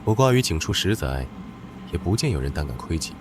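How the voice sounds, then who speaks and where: A man voicing a stern young general who scolds his sister curtly, in an animated drama. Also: deep voice, fairly quick, background noise. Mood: cold. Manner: curt, scolding. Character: stern young war-god general, her elder brother.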